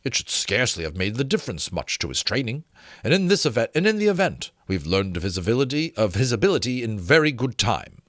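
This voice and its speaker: A man's voice: medium pitch, big pitch swings, fast talker, fairly bright tone, no echo, studio quality. A man voicing a gallant, reassuring military captain with formal, courteous manners in a dramatic storybook reading. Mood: gracious, affectionate. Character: gallant, reassuring British male dragon captain.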